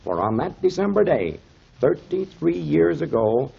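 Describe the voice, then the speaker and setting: A man's voice: fairly high pitch, sing-song, a little slow, vocal fry, breathy edge, exaggerated voice. An actor playing a concerned, hearty man in a 1930s radio serial, speaking in an emphatic, dramatic way. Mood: awed, emphatic. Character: concerned, hearty man, protective dog owner. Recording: dull, muffled 1930s radio recording.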